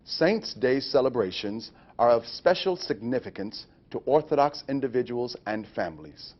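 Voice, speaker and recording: A man's voice: medium pitch, animated, normal speed. A man who works as a chaplain, presenting scripted narration for a training video. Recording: dull, lo-fi training video audio.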